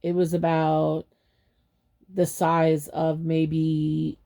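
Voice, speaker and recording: Low gravelly woman telling a story. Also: monotone, very slow, dark tone. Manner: rambling, chatty, acts out quoted reactions. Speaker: storytime channel host. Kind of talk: casual storytime monologue.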